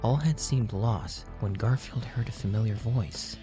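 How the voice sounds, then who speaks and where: A man's voice: lowish voice, measured pace, mellow, faint music behind. A man narrating absurd fan fiction as a scripted audiobook reading. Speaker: audiobook reader.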